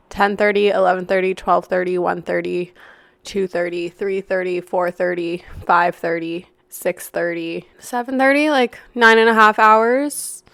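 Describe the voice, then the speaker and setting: Young female podcast host, hoarse and raspy, loose rambling chat, smooth tone under the rasp. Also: mid-range voice, no room sound. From Canada. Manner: chatty and rambling, lots of "like". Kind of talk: casual solo podcast chat. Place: apartment, not her usual studio.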